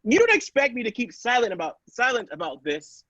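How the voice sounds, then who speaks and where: A woman's voice: a little high, big pitch swings, brisk, fairly bright tone, no room sound, lo-fi sound, voice pushed up. A woman playing an alarmed but defiant doctor in a classic radio play, speaking with dramatic emphasis. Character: alarmed, defiant doctor facing a murderous robot.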